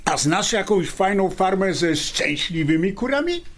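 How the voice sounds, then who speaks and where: A man's voice: sits high, sing-song, measured pace, full character voice. An actor playing a jovial, teasing male friend in a naturalistic radio drama, bantering and colloquial.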